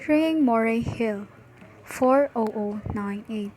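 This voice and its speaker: Woman acting a slow, high-voiced telephone operator. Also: darkish tone, slight background noise, poor audio. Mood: polite. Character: polite, formal telephone switchboard operator. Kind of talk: radio drama acting.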